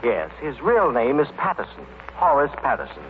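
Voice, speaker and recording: Courteous, hesitant attorney on tinny radio. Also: male voice, mid-range voice, wildly swooping pitch, normal speed. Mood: tactful. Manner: courteous, tentative. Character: polite, hesitant attorney seeking his cousin. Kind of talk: 1930s-40s radio acting. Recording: tinny, noisy old radio recording.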